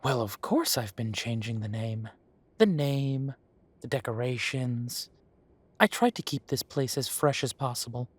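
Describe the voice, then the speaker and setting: A man playing a genial, long-lived elven café owner who reminisces in an unhurried, chatty way, in a roleplay audio drama. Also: mid-range voice, dry recording. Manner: unhurried, musing, conversational with pauses.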